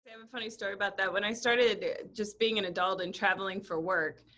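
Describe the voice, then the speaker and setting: Earnest panelist woman, bright voice, speaking over a video call. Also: mid-range voice, fairly even intonation, fairly quick. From Puerto Rico.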